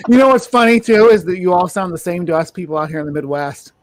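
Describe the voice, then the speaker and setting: A man's voice: high voice, lively intonation, fast talker, slight background noise. A man from Missouri in a casual group chat, teasing and talkative. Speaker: podcast cast member.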